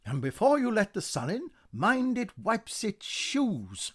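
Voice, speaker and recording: A man's voice: high-pitched, huge pitch swings, slow pace, breathy edge, voice pushed up, exaggerated voice. A man voicing a bossy, prim, house-proud widowed landlady with a fussy, commanding manner in a radio play. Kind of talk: radio play verse drama.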